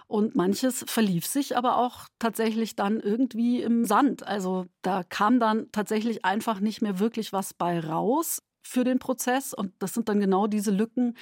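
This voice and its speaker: A woman's voice: medium pitch, darkish tone, no echo, clean studio sound. A woman who makes radio drama, explaining her work in interview style.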